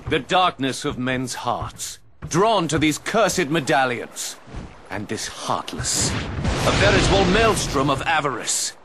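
An actor playing a coldly calculating, mocking hooded villain in a video game, grandiose and deliberate with false politeness. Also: male voice, sing-song. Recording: noisy game capture with rumbling ambience.

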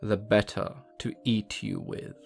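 A man voicing a crafty, hungry wolf who speaks in a slow, coaxing drawl. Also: lowish voice, flat delivery, vocal fry, a little mellow, faint music behind. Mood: menacing. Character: sly hungry male wolf, crafty and smooth.

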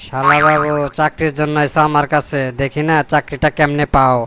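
A man's voice: fairly high pitch, level tone, brisk, pushed and bright, clear, resonant tone, dry recording. A man voicing a scheming, self-important corrupt boss in a comic cartoon, pompous and sly, with colloquial Bangladeshi speech. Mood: scheming aside. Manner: pompous, mock-formal, sly.

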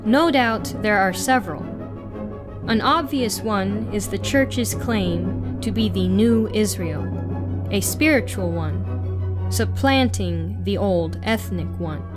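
A young woman reading a written article aloud as narration, in a deliberate, formal manner. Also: medium pitch, lively intonation. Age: young adult. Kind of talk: scripted read-aloud narration. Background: music.

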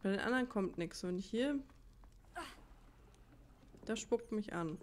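A woman's voice: mid-range voice, takes it slowly. A woman playing a video game, thinking aloud in colloquial asides and reading game text. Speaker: let's play host. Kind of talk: casual gaming commentary with read-aloud game text.

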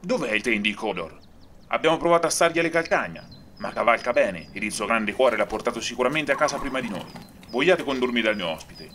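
A man's voice: medium pitch, expressive, fast talker, pushed and bright, slight background noise. An actor playing a gracious, courteous king in an Italian radio drama, speaking in a courtly, formal way. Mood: gracious, genial.

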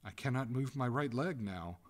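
Man voicing a melodramatic, formal lady ghost, animated pitch. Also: normal speed. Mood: desperate pleading. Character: female water ghost, haughty lady turning to desperate pleading.

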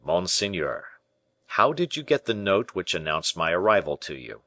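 A man reading a storybook part as a courtly, devoted conspirator, formal, deferential and persuasive in measured rhetoric. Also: deep voice, sing-song, fairly bright tone. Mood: smooth, assured.

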